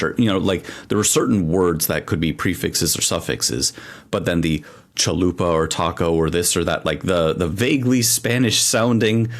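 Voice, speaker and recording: A man co-hosting a podcast, chatting casually and telling rambling stories full of asides. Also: low-pitched. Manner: rambling, animated storytelling with frequent asides. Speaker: podcast co-host.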